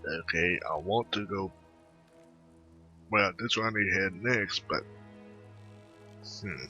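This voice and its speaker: A man gives casual commentary on his game and reads dialogue choices aloud. His voice is low and very bright, his delivery halting, as if muttering to himself, with faint music behind. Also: muffled recording. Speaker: gamer recording a playthrough.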